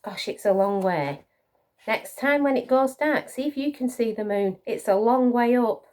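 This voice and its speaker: A woman's voice: on the high side, expressive, moderate pace, darkish tone. A woman reading a picture book to young children, with encouraging asides and questions to her listeners. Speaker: children's storyteller.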